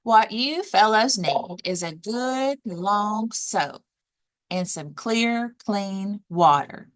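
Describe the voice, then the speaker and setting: A woman voicing a brisk, confident male duck, a bossy helper in a children's storybook, giving emphatic instructions. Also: mid-range voice, expressive. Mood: confident, advising.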